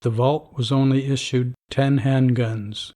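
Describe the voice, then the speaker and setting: A man voicing a middle-aged vault overseer in an audio drama, dry and dismissive, clinging to the rules. His voice sits at an ordinary pitch, and he hands down orders in a flat, bureaucratic manner. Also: a little slow, lightly acted. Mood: stern. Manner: dry, rule-bound, dismissive.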